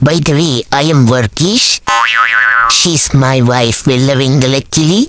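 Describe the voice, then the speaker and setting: A performer voicing a proud cat family head who makes showy, drawn-out introductions in a comic animal dub. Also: mid-range voice. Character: proud cat family head presenting his clan theatrically. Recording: loud music under the voice.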